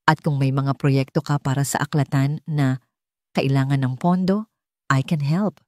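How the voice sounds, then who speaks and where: A woman's voice: a bass voice, steady tone, moderate pace, warm tone, no room sound, clean studio sound. A woman with a Filipino accent voicing an earnest, decisive, wealthy single father in an audiobook. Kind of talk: audiobook character dialogue.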